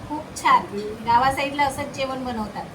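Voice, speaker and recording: A woman's voice: high-pitched, moderate pace. A woman in casual family conversation, explaining things informally. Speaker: vlogger's relative. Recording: noisy handheld family vlog.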